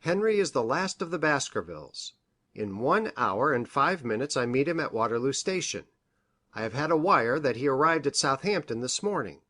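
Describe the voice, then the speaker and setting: A man playing an earnest, anxious country doctor in a Victorian detective story, speaking formally and deliberately. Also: medium pitch, big pitch swings, fairly bright tone. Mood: earnest, worried. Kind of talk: dramatised reading of a Victorian detective novel.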